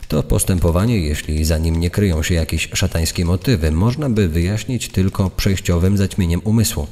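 A man playing an indignant journalist, reading an old press article in a formal, measured, accusatory manner. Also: low voice, warm tone. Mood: suspicious. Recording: long hall-like reverb.

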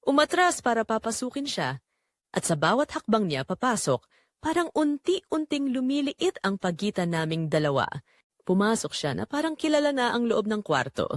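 A woman narrates a scripted romance in the first person. Her mid-range voice moves up and down in very animated swings, in a dry, close-sounding recording. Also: mid-range voice, dry recording.

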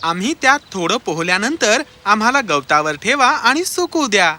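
A young enchanted fish prince in a fairy tale cartoon, bold and urgent. The voice is very sharp and high, swinging in pitch, with faint music behind. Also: male voice, sing-song, normal speed, full character voice. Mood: instructing. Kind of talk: animated fairy tale character acting.

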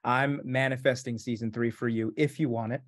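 A man's voice: medium pitch, fairly even intonation, fairly quick. A man in his thirties hosting a casual video-call interview from his home kitchen, friendly and easygoing. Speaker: host.

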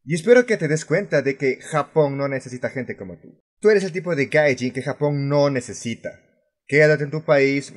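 A man's voice: medium pitch, close to an everyday voice. A male voice actor plays a furious expat vlogger with a Latin American Spanish accent and a mid-range male voice. He is confrontational and sarcastic, swearing freely and leaning hard on his words in a fan-dubbed rant. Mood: angry, scornful. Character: furious, foul-mouthed male expat vlogger ranting about rude tourists.

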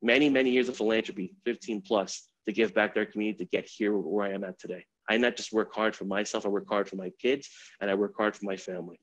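A man's voice: fairly low pitch, steady tone, quick pace, no room sound. Earnest man on a dull video call. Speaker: wealth management advisor. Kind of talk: prepared community talk on video call. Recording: dry, slightly dull video call audio.

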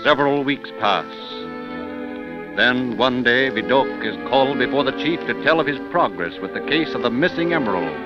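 A man's voice: medium pitch, lively intonation, moderate pace. A man narrating a scripted 1930s radio show in a dramatic, portentous manner. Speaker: narrator, host. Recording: muffled old 1930s radio recording. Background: music.

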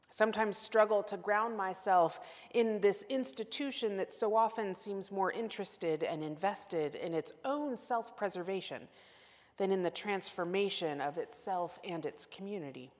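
A woman in her forties with a Southern US accent, preaching a sermon at a pulpit and telling a story, sometimes dropping to a near-whisper for emphasis. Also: mid-range voice. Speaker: guest preacher. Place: church sanctuary at a pulpit. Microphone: pulpit microphone. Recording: long church reverb.

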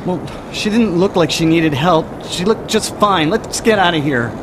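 A man's voice: high voice, normal speed, lightly acted. An actor playing an impatient, uneasy young man stranded at night in a radio drama, curt and agitated. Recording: noisy night-time drama soundscape.

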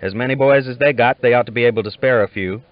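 A man's voice: medium pitch, quick pace, dry recording, natural voice. A stern cattle boss played by a male reader gives terse, practical orders with an impatient edge. It is western audiobook dialogue. The recording is dry and slightly dull.

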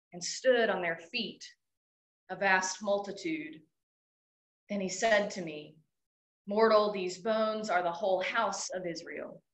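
Woman worship leader, mid-pitched, very slow measured reading, echoey room. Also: fairly bright tone. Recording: echoey hall.

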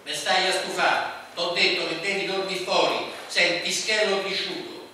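A cruel Roman mother screams at her son, as a male narrator puts her on, bright and piercing. The room echoes loudly, and the voice sits back from the mic. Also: sits high, little pitch movement, normal speed, far from the mic, slight background noise. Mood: angry. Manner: scolding, shrieking. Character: harsh angry mother from Rome.

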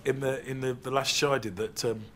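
A man speaking in a documentary interview, reflective and lively, recorded in a living room. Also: medium pitch, quick pace, slight background noise. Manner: reflective, mimics voices when quoting. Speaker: interviewee, comedian.

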